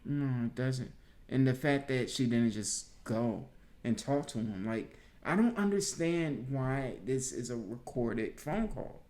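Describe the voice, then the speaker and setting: A woman giving casual reality TV commentary as a co-host, in a measured, wry manner. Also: very deep voice, animated, mellow.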